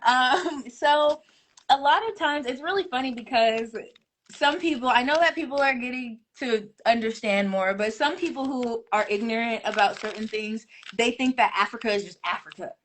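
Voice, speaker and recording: A woman's voice: fairly high pitch, normal speed. A woman hosting a casual live interview, telling stories with lots of laughter, on a video call. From Nigeria. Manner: laughs often, animated storytelling. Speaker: host. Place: United States.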